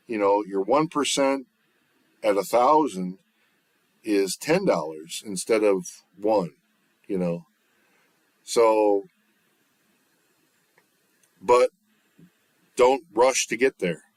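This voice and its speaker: A man with a bass voice chatting casually on his livestream, relaxed and rambling with lots of fillers and restarts. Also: no echo. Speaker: livestreamer and recording artist. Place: home, off-grid.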